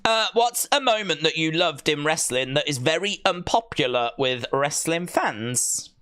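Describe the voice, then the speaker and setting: A man hosting a casual livestream, chatting to viewers in a rambling, joking way with deadpan asides. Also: fairly high pitch, unhurried, smooth. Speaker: livestream host. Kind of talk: casual watch-along chat, reading viewer comments.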